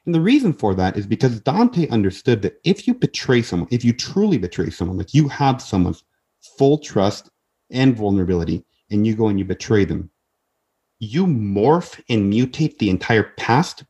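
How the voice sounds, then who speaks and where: Husky-voiced earnest young man. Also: on the low side, big pitch swings, normal speed, warm tone. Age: 20s. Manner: earnest, many restarts and repeated words. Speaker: podcast guest. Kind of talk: casual podcast conversation.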